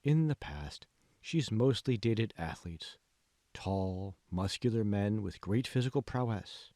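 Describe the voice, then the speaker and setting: A man playing a calm, manipulative male mentor in an audiobook, speaking slowly and deliberately with hushed, condescending questions. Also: lowish voice, dry recording, studio quality. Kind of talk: dramatic audiobook dialogue.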